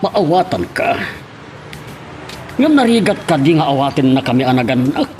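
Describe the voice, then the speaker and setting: A man's voice: fairly high pitch, sing-song, moderate pace, a little mellow, a little hiss or hum. A man playing a stern, protective middle-aged father in an Ilocano radio drama, with a Filipino Ilocano accent.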